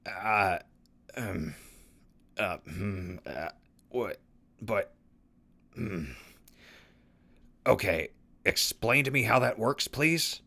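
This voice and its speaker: An actor in an audio drama playing a gruff, exasperated and weary wasteland survivor with a raspy voice. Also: male voice, on the low side, animated, very slow, long pauses, sharp tone, full character voice. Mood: baffled.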